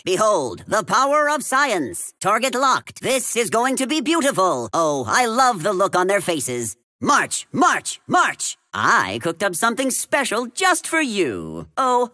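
A gleeful little mad inventor from a video game, cocky and scheming. His delivery is theatrical and smug, leaping dramatically up and down in pitch. The sound is very dry, with no room around it. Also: wildly swooping pitch, measured pace, few pauses, bright, forceful tone, no echo, full character voice. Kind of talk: video game character voice lines.

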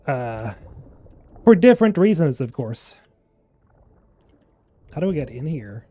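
A man's voice: mid-range voice, big pitch swings, takes it slowly, mellow. A man in his thirties from Sweden, chatting casually and joking with his viewers as he plays, on a headset mic in a small room. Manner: joking, rambling, chats with viewers. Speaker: streamer. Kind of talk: casual gameplay chat.

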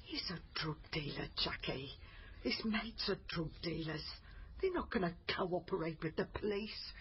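A woman's voice: on the low side, expressive, measured pace, lo-fi sound, noisy background. An actress playing a bitter, harassed middle-aged mother, aggrieved, in a naturalistic radio drama. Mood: fed up. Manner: aggrieved, exasperated. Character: bitter, harassed mother, a faded female singer.

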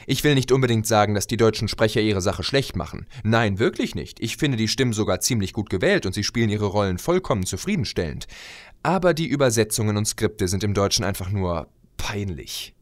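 A man delivering a scripted opinion monologue as a video blogger, conversational and expressive with rhetorical asides. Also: on the low side, fairly quick, no echo, studio quality. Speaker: video blogger and fan audio drama maker.

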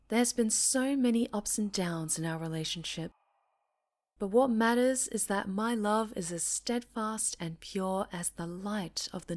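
A woman voicing an obsessive, possessive winged supervillainess in an ASMR roleplay, theatrical and breathy, often sinking into whispers, with menacing tenderness. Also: medium pitch, reverberant, natural voice.

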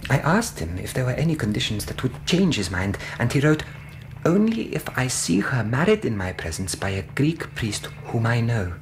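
Earnest, animated male interpreter recounting an ordeal. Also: medium pitch, normal speed, noisy background. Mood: earnest, agitated. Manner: formal, vivid storytelling. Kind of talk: radio drama acting.

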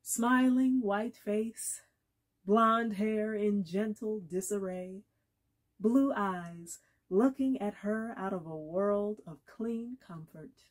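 A woman in her thirties reads literary prose aloud with drama and care. Her voice has a husky grain and sits at a middling pitch. She takes her time, setting phrases apart with pauses. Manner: deliberate, measured phrasing with pauses. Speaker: reader at a literary reading. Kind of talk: expressive dramatic reading of literary fiction. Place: small indoor room against a plain wall.

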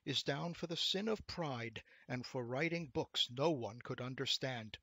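A man's voice: mid-range voice, expressive, unhurried, sharp tone, breathy edge, dry recording, heavily acted. A man voicing a minor demon in a storybook tale, a dutiful, deferential clerk who explains things to his master. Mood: reporting.

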